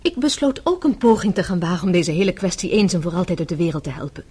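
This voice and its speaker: An actress playing a composed, tough-minded young wife in a radio drama, explaining herself articulately. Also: female voice, lowish voice, sing-song, quick pace, darkish tone, husky. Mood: confiding, assured. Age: young adult. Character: self-possessed, tough-minded young wife explaining her undercover scheme.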